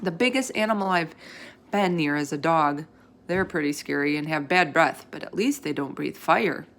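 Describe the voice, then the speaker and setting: Female storyteller for children's read-aloud: lower-pitched, noticeably creaky, expressive with animated emphasis. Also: moderate pace. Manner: animated emphasis, acts out character dialogue.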